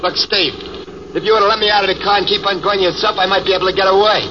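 A man's voice: high voice, steady tone, fast talker, natural voice. Male actor as stammering coward crook, 1940s radio, hissy lo-fi. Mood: wheedling. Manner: whining, stammering, pleading. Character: cowardly young male bank robber, whining and nervous. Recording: dull old radio recording with hiss.

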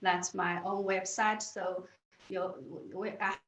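A woman's voice: medium pitch, level tone, slow, a bit bright, reverberant. A woman from China with a Chinese accent, answering interview questions earnestly, often restarting and repeating words. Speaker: guest.

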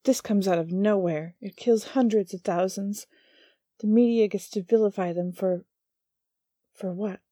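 A woman voicing a prim male angel in a storybook reading, speaking in a clipped, indignant way, with a husky voice. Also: medium pitch, a little slow, mellow, no room sound, studio quality, voice pushed up, lightly acted.

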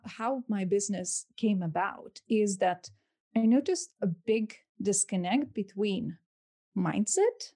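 A woman in her thirties speaks with a Lithuanian accent in a dark, relaxed tone. Her pitch sits in an average range as she gives conversational answers as a coach being interviewed on a podcast. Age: 30s. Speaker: podcast guest, life and business coach.

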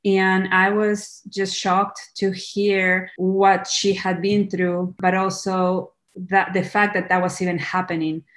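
A woman's voice: medium pitch, flat delivery, slow. A young woman, a documentary director, speaking earnestly in a podcast interview and often restarting her words. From Peru. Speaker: documentary film director, guest.

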